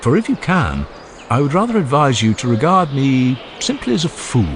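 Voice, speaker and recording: A man narrating a dramatized classical dialogue in a formal, measured and expressive oratorical manner. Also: mid-range voice, noisy background. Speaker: audiobook narrator. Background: ambient sound effects.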